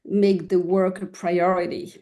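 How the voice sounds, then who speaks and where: Halting older woman, mellow interview voice. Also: on the low side, flat delivery. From Switzerland and France. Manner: halting, searching for words. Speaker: artist guest being interviewed. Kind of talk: reflective casual interview answers.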